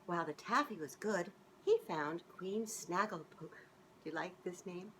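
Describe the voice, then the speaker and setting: A middle-aged woman reading a children's storybook aloud in an expressive, sing-song way, as if speaking directly to young listeners. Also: fairly low pitch, normal speed, slight background noise, rough recording. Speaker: children's author reading her own book aloud. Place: small living room, on a couch indoors.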